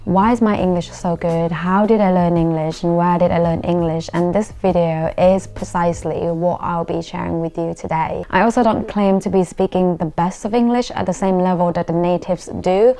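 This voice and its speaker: A young woman with a Thai accent talks straight to camera, her voice sitting on the low side. English is not her first language, and she explains things in an earnest, self-deprecating way. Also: fairly even intonation, moderate pace, hardly any pauses, warm tone. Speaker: YouTuber. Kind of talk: talking to camera.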